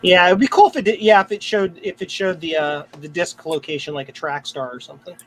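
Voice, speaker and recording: A man's voice: sits high, fairly quick. A man hosting a live stream, chatting casually in a jokey, slangy way. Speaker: livestream host. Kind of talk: casual live-stream banter about retro computers. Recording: noisy live stream, room ambience.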